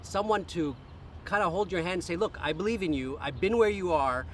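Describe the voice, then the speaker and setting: A man's voice: high-pitched, fairly quick, a little hiss or hum. A young man hosting a podcast, speaking in a loose, admiring way, full of "you know" and "uh" as he thanks his guest. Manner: warm, admiring, loose with fillers. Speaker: podcast host. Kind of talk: casual interview conversation.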